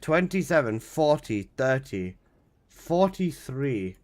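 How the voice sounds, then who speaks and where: A man in his twenties, casually chatting on a live game stream, reading out chat messages and protesting loudly, with casual swearing. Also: medium pitch, big pitch swings, slow pace. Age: 20s.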